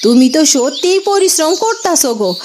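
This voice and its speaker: A woman's voice: high voice, expressive, fairly quick, background noise. A woman voicing a poor woodcutter's caring wife in a cartoon, homely and affectionate, with a rural eastern Bengali dialect. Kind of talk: cartoon voice acting.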